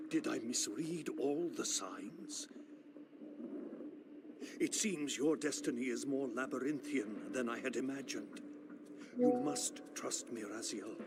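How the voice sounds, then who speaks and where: A man voicing an ancient vampire elder in a video game, a solemn prophet who speaks gravely and urgently in a gravelly voice. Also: medium pitch, very noisy, close to an everyday voice. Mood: troubled. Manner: slow, urgent, solemn, archaic phrasing. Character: ancient male vampire elder, awakened, earnest pleading prophet. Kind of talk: dramatic video game voice acting.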